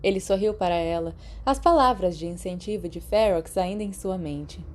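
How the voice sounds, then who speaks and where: A woman's voice: fairly low pitch, moderate pace. A woman with a Brazilian accent reading a scripted story as an audiobook narrator. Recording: clearly noisy background.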